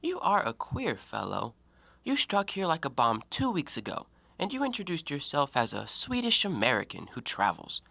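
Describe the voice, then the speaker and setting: A man acting an animated, needling intellectual. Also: on the high side, moderate pace, gravelly, no room sound, heavily acted. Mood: musing, teasing. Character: probing, self-assured male intellectual, talkative and needling. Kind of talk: stage-style audio play monologue.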